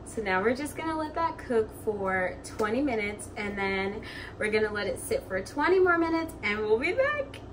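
A woman's voice: on the high side, sing-song, normal speed. A woman in her twenties casually narrating a home cooking vlog, recorded in a kitchen from a few steps away. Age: 20s. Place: small home kitchen, indoors. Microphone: camera or phone a few steps away. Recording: distant mic, noisy kitchen ambience.

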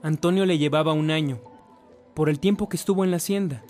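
A man's voice: on the high side, fast, darkish tone, faint music behind, close to an everyday voice. A man narrating a scripted radio drama, telling the life story of a saint. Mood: measured narration.

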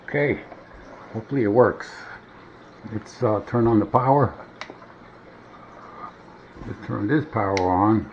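Low-voiced rambling man, noisy recording. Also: unhurried, long pauses, a little mellow. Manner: rambling, muttering to himself. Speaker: vintage gadget demonstrator. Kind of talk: casual hands-on demonstration. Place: indoors at a wooden table at home. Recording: dull, noisy home camera audio.

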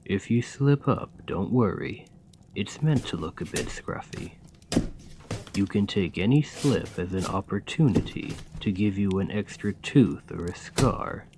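A man playing a kind, patient gravedigger in a soft, soothing, encouraging ASMR roleplay. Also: on the low side, animated, unhurried, gravelly, background noise. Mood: gentle encouraging. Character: kind, gentle gravedigger, patient and playfully fond. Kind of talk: ASMR roleplay, scripted comforting monologue.

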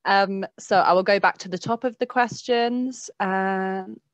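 Woman moderating an online panel, slow pace, dry headset sound on a video call. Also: medium pitch, dry recording. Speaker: webinar moderator. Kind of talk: informal panel moderation, reading out audience questions. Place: indoor room on a video call.

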